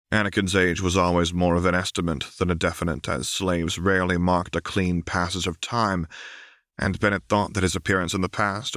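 A man's voice: sits low, fairly bright tone, no room sound, clean studio sound. A man reading scripted fiction aloud as an audiobook narrator. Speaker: audiobook reader.

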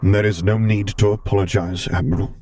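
Man putting on a grave, commanding dark lord. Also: on the low side, fairly even intonation, lightly acted. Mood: formal, grave. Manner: grave, formal, measured. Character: imposing male dark lord, commanding, terse, solemn.

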